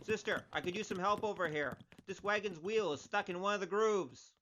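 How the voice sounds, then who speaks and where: A man's voice: high-pitched, a little fast, bright, forceful tone, rough recording. A man playing an easygoing, teasing gambler hero with a flippant manner in an audio drama. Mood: busy, urging.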